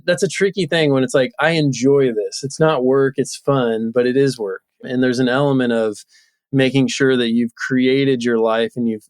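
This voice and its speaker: A man's voice: mid-range voice, dry recording. A man in his thirties talking casually and reflectively as a guest in an interview. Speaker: podcast guest, entrepreneur.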